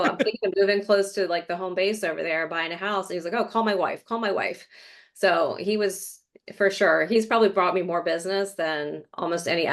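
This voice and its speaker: Casting: middle-aged woman, lowish voice, chatty and rambling, interview guest. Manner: chatty, fillers, rambling storytelling. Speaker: real estate agent, guest. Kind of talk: casual interview conversation. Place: Florida.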